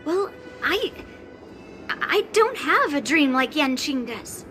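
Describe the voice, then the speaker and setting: A woman voicing a proud, sharp-tongued young swordswoman in a game cutscene, blunt and teasing. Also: high voice, big pitch swings, takes it slowly, full character voice. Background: game score music.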